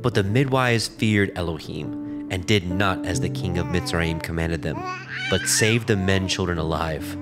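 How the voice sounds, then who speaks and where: Deep guy narrating the bible, slow solemn measured read, music behind him. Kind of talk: scripted scripture narration.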